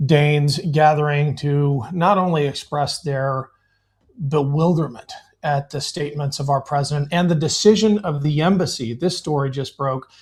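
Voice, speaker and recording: A man who is a veteran, a guest on a live remote interview, speaking in an earnest, emphatic way. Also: a little high.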